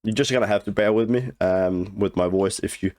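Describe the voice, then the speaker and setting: A young man with a cold, his nose blocked and voice hoarse, talking through a game dungeon run in a halting, casual way and often clearing his throat. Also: on the low side, a little mellow. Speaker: game guide creator. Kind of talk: casual gameplay walkthrough commentary.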